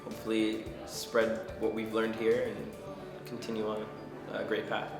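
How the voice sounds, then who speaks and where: Man, low gravelly earnest voice. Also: completely flat delivery, measured pace. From Jamaica. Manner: earnest, with frequent ums. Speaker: culinary student and chef. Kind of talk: interview testimonial. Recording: echoey room with background music.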